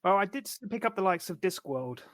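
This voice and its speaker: Man, podcast co-host, fairly high voice, dry close mic, measured and thoughtful. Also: lively intonation, a bit bright, dry recording. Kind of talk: casual chat.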